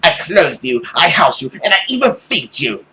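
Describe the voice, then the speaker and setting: A man's voice: a little high, very animated, moderate pace, bright, forceful tone, pitched above the performer's own voice, rough recording. A man voicing a cruel, bitter mother in a visual novel, scolding harshly and dramatically. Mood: furious. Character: cruel, furious, abusive mother, bitter and self-pitying.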